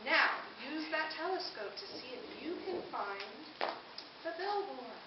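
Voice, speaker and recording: A woman narrating a children's puppet show, telling the story in an animated way and putting questions to listeners. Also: sits high, unhurried. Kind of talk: children's storytelling to a live audience. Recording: roomy, distant, dull audio with hiss.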